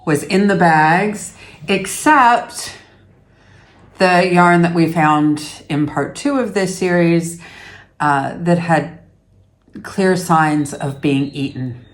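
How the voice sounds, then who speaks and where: A woman's voice: fairly low pitch, slow, pauses often. A middle-aged woman from Canada hosting a yarn vlog from her dining room at home, chatting casually to the camera in a rambling, self-deprecating way with many fillers. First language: English. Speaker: yarn vlogger host.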